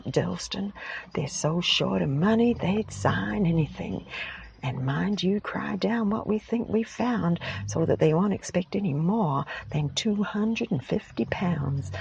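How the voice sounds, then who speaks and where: A woman whispering the lines of a scheming, smooth-talking middle-aged man in a storybook reading. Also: fairly low pitch, darkish tone, lo-fi sound, slight background noise, pitched above the performer's own voice. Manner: whispered, purring.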